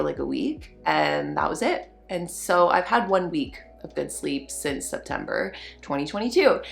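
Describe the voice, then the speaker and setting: Husky-voiced woman hosting a reflective podcast. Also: on the low side. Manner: reflective, searching for words, frequent restarts. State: slightly hoarse from a cold. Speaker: podcast host. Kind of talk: casual personal update.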